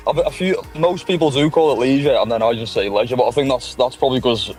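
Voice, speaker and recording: Fast-talking Liverpool man. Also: mid-range voice, a little mellow, faint music behind, low-quality recording. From England. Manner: quick, easygoing, frequent "yeah". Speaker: guest musician. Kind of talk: casual chat.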